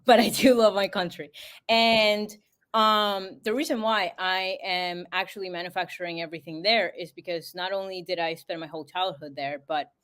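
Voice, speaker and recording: A woman's voice: on the low side, a bit bright. A young woman from Peru chatting as a podcast guest, full of fillers like "you know" and "like". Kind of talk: casual interview chat.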